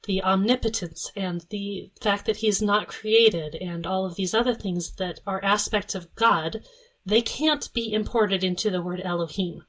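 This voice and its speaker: A woman's voice: medium pitch, low-quality recording. A woman hosting a podcast, explaining theological terms patiently, step by step, in casual talk. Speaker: podcast host.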